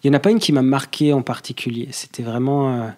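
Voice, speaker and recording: A man, talking as a guest on a podcast in a relaxed, hesitant way, with many fillers and restarts. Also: medium pitch, quick pace. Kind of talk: casual conversation.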